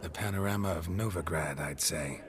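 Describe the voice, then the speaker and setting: An actor playing a gruff, laconic monster hunter with dry wit in a video game. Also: male voice, deep voice, normal speed, crowd noise, lightly acted. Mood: dry, wry. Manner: laconic, deadpan.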